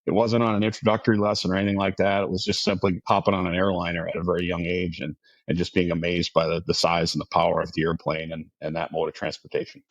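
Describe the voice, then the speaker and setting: A middle-aged man from Massachusetts talks in a low voice, friendly and rambling, full of restarts and little fillers. The sound is dull and dry, like a remote lo-fi recording. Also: level tone, fast, no room sound. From Massachusetts, outside Boston. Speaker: aviation insurance guest. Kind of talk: casual interview chat.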